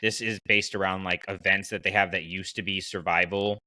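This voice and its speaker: A man talks casually as a podcast host in a slightly low, bright voice. The sound is dry, with very little room to it. He is conversational and opinionated, working through his thoughts out loud. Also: steady tone, fairly quick, no room sound. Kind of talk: casual podcast chat about fiction.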